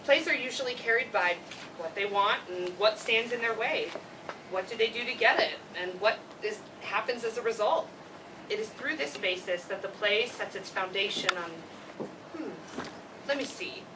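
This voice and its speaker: A woman's voice: medium pitch, moderate pace, natural voice. A woman playing a quirky, overenthusiastic teacher with an effusive manner in a live play reading. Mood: instructive. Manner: effusive, gushing. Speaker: actor in a staged play reading. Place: read before a live audience, far camera. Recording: noisy, distant room recording.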